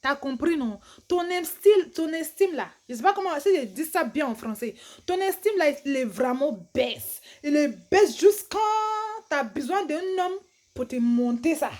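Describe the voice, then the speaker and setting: Chatty anglophone woman, slow French. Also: high-pitched. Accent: English-speaking. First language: English. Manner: chatty, animated, laughs, broken French with English phrases. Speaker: vlogger. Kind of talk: casual advice vlog to camera.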